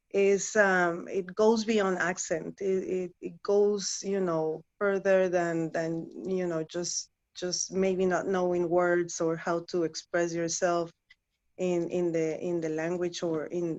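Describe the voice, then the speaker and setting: A woman in her thirties from Guatemala, speaking English as a second language after Spanish, talks slowly and haltingly, restarting her phrases. Her voice sits fairly low. The audio is a bit dull and muffled. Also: darkish tone. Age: 30s. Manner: halting, many restarts and fillers. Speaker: interview guest, former client. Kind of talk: casual interview chat.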